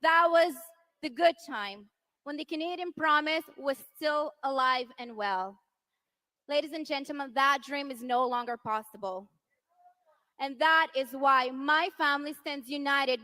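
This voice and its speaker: A woman in her thirties from Venezuela, giving an impassioned political rally speech that rises to rousing shouts. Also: sits high, normal speed. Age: 30s. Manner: impassioned, rising to rousing shouts on key lines. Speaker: politician's wife speaking at a rally.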